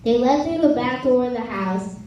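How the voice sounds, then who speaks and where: Mellow woman narrator in a very echoey room. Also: fairly high pitch, expressive, moderate pace, highs cut off. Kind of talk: scripted story narration. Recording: echoey room, distant mic, faint noise.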